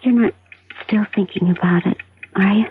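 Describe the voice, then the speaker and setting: An actress playing an anxious, gentle young wife in a 1970s radio drama, tentative and halting. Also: female voice, mid-range voice, steady tone, lightly acted. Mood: anxious, concerned. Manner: tentative, halting, soft-spoken. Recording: noisy phone-like old radio broadcast.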